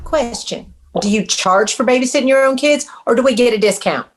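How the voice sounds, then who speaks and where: An actress reading a blunt, crude friend who mocks the husband in a table read. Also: female voice, on the high side, fairly quick, lightly acted. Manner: blunt, mocking. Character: brash, crude female friend.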